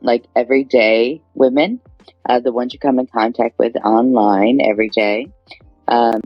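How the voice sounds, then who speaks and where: A woman's voice: rumbling low, no room sound. A woman introducing her project as a guest on an online panel, hesitant and full of fillers. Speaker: guest, NFT project representative. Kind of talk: casual online panel talk. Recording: dull lo-fi online audio stream.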